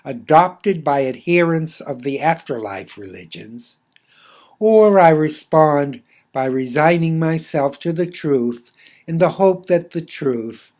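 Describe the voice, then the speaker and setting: A man reading his own philosophical essay aloud in a measured, deliberate, formally literary way. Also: mid-range voice, darkish tone.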